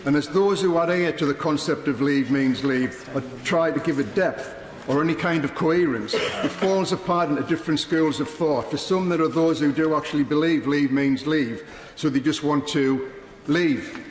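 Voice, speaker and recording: A middle-aged man, a member of parliament, reading a prepared speech in a large debating chamber, earnest and measured. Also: a little high. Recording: echoey chamber with long reverb.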